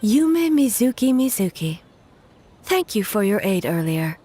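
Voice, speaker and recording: Solemn, regal immortal ruler. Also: female voice, medium pitch, big pitch swings, dark tone, slight background noise. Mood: gracious formality. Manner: formal, measured, stately. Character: ageless solemn female ruler, regal and reserved. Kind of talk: video game voice acting.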